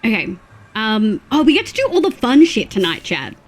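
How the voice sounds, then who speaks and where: A chatty woman streaming a game, her voice thick and stuffy from congestion. She talks in an excitable, rambling way with lots of fillers, sitting a little above the usual pitch for a woman. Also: moderate pace, rough recording. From Australia. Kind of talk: casual livestream chat while playing.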